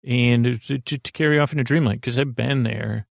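Creaky-voiced man rambling softly for bedtime. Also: mid-range voice, fairly even intonation, dry recording, clean studio sound. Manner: meandering, halting, trailing off mid-thought. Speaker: podcast host. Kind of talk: rambling bedtime monologue to help listeners sleep.

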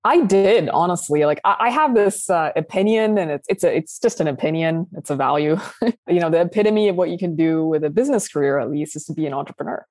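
A young adult woman from Sudan, a founder answering interview questions in a casual, animated way, laughing often and restarting sentences. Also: low voice, fast, no room sound. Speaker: guest founder.

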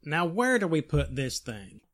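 A man's voice: a little high, sing-song, unhurried. A man playing a brusque working-class delivery man in 1940s radio drama style. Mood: brusque, straining.

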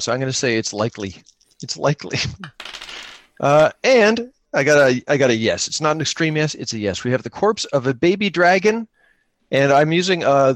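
Male game host, medium pitch, conversational improvised narration, asks and answers his own questions, very little room sound. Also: no room sound. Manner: thinks aloud, poses questions and answers them. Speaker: host and player of an improvised solo-engine tabletop game. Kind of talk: casual tabletop roleplay chat, improvised narration.

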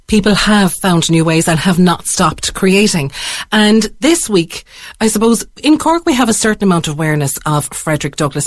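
A woman's voice: fairly low pitch, no echo. Effusive Irish woman hosting arts radio. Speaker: arts radio show host. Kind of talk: conversational radio interviewing.

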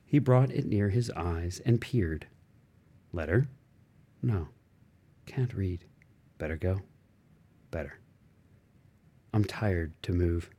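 A man reads literary fiction in a low, relaxed voice. His delivery is unhurried and musing, moving through fragmented thoughts with expressive shifts. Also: husky. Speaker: audiobook reader. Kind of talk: literary audiobook narration of interior monologue.

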